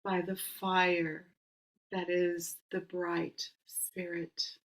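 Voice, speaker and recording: A woman casting a ritual circle in a solemn, measured invocation, some words whispered. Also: fairly low pitch, monotone, low-quality recording. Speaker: circle caster.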